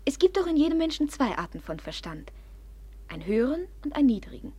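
An actress playing a proud, impulsive, jealous young woman of good family in a classic German radio play, her manner volatile and demanding, her voice breathy. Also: high-pitched, sing-song, quick pace, dark tone. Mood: earnest, confiding. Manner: imperious, volatile, swinging from confiding to accusing.

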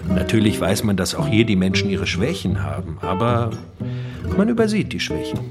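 A man's voice: low voice, big pitch swings, moderate pace. An actor playing a self-assured crook turned writer, telling his tales dramatically in a radio play. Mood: self-assured, reflective. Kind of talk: theatrical radio play monologue and narration. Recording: studio radio play with guitar music.

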